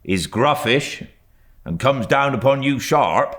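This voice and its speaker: A man's voice: mid-range voice, lively intonation, slow pace, fairly bright tone, pitched above the performer's own voice. A British man voicing a good-natured, jocular carriage driver in an audiobook, with blunt, musing, colloquial talk. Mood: blunt, friendly.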